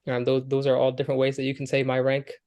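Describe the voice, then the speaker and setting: A man's voice: mid-range voice, monotone, fast talker, darkish tone, clean tone. A young man from Kenya with a Somali family, answering interview questions in an earnest, conversational way, with many fillers and restarts. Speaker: guest, Air Force airman.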